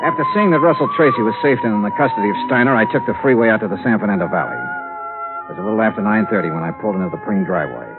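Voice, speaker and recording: A man's voice: fairly low pitch, huge pitch swings, racing. A man playing a cool, terse insurance investigator in 1950s radio detective style, clipped and dry as he questions and narrates. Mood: grim narration. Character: cool, probing male insurance investigator, hard-boiled and terse. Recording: lo-fi old radio recording, music behind.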